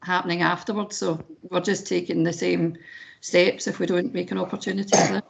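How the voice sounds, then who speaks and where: This woman's voice is hoarse and heavily gravelly, sitting fairly low. She talks in a questioning way in an online roundtable, heard over a video call. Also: little pitch movement, a little fast, slight background noise, rough recording. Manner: questioning, pushes for a proactive approach. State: hoarse, croaky voice today. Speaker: meeting participant.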